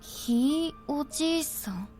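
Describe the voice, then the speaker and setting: A woman's voice: high voice, animated, moderate pace, a little mellow, faint music behind. An actress voicing a small, innocent, anxious young boy in a Japanese visual novel game.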